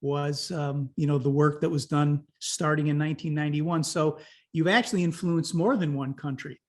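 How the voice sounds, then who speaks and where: A man's voice: on the high side, steady tone, normal speed. A man hosting an interview, chatting easily and prompting his guest with questions. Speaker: interview host. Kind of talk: conversational interview.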